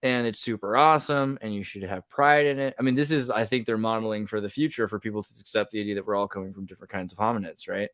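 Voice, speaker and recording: A man hosting a podcast, talking casually in an animated, rambling way that often breaks off mid-sentence. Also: fairly low pitch, fast talker, hardly any pauses, dry recording, studio quality. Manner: animated, rambling, self-interrupting. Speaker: podcast host. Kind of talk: casual conversational podcast chat.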